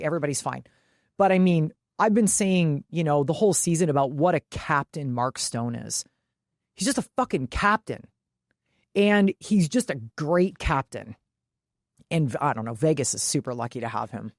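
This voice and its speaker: A woman's voice: low voice, lively intonation, normal speed, no echo, clean studio sound. A woman co-hosting a sports podcast, talking casually and emphatically with loose swearing. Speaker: podcast co-host.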